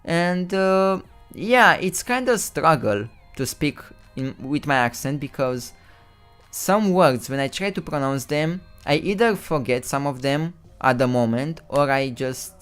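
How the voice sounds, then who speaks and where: A man's voice: a little high, animated, unhurried, a bit bright, clear, resonant tone, faint music behind. A young man from Romania with a Romanian accent, whose first language is Romanian, hosting a casual live stream with rambling, self-correcting commentary and some stumbles over words.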